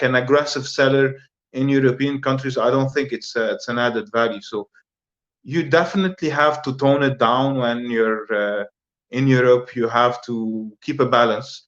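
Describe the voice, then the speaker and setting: A man in his thirties from Lebanon, a guest talking casually in an interview, relaxed and hesitant with many fillers and repeated words. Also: medium pitch. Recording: slightly dull lo-fi audio.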